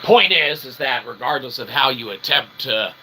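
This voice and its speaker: A man hosting an online show, delivering a rambling, wordy, mock-formal comic monologue with animated bursts. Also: mid-range voice, normal speed, noisy background. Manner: mock-formal, wordy phrasing with frequent check-in tags. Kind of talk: rambling comedic monologue to a livestream chat.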